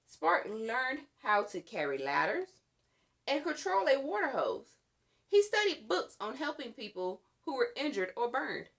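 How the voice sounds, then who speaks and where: A woman reading a children's story aloud in an expressive, deliberate storytelling manner. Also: sits high, creaky. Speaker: storybook reader.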